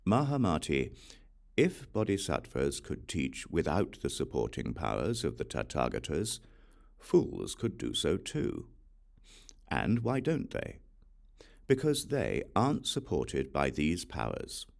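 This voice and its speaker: A man reading a religious text aloud in a measured, solemn recitation as an audiobook. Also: very deep voice, sing-song, vocal fry, a little mellow. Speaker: audiobook reader.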